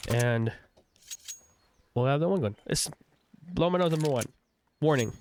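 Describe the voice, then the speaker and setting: A man's voice: medium pitch, lively intonation, measured pace, darkish tone, a little hiss or hum. A young man casting a game on a livestream with a cold, chatty and rambling, with many fillers and restarts. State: has a cold, stuffy. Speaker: esports shoutcaster. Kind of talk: casual play-by-play commentary on a livestream.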